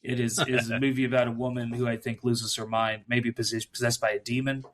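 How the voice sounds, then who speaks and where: A man's voice: mid-range voice, level tone, fairly quick, a bit bright. A man co-hosting a film podcast, talking casually and laughing often, with plenty of fillers. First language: English. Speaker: podcast co-host. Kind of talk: casual chat about films.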